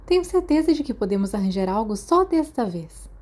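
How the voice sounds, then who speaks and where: A woman's voice: on the high side, sing-song, a little fast, a little mellow. A woman voicing a firm, caring school nurse in an audiobook, admonishing, with a Brazilian Portuguese accent. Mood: firm but indulgent.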